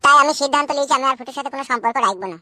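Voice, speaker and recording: A man's voice: very high-pitched, racing, pushed and bright, no echo, clean studio sound, exaggerated voice. A man voicing a polite, aggrieved young village man in a comedy cartoon. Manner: deferential, explanatory.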